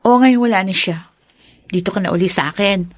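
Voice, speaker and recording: A woman's voice: medium pitch, fairly quick, no room sound, clean studio sound, lightly acted. A woman playing a sassy girlfriend in a radio drama who teases her boyfriend with playful exclamations. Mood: teasing.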